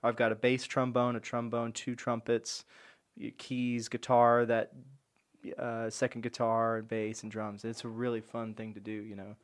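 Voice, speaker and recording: A young man from Florida with a deep voice, a musician answering interview questions in a relaxed, easygoing way. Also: normal speed. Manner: relaxed, easygoing, says "like" and "you know". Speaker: musician guest.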